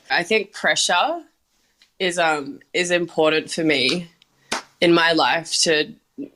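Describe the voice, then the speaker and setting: A woman's voice: low-pitched, expressive, takes it slowly, pushed and bright. A young woman, a bar manager and sommelier, talking casually in an interview with lots of "like" and "um". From Australia. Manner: chatty, many "like" and "um" fillers, laughs often.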